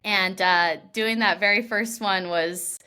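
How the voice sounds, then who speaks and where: A woman's voice: mid-range voice, fairly even intonation, slow pace, bright, forceful tone, clear, resonant tone. A young woman from Washington state, an actress being interviewed, talking casually with lots of "like" fillers and restarts. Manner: chatty, laughing, many "like" fillers and restarts.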